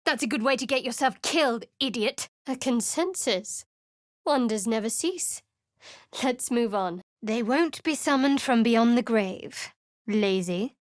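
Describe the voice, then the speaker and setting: Woman doing guarded game cleric girl, clipped and sardonic, kinda high voice, super dry booth sound. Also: unhurried, no echo, close to an everyday voice. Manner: cool, wry and clipped. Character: guarded young female cleric, secretive, sharp-tongued. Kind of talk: video game voice lines. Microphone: dry close studio mic.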